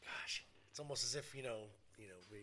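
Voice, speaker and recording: A man co-hosting a podcast, chatting casually, explaining at length and swearing with animated energy. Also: mid-range voice, fairly quick. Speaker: podcast co-host. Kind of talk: casual chat.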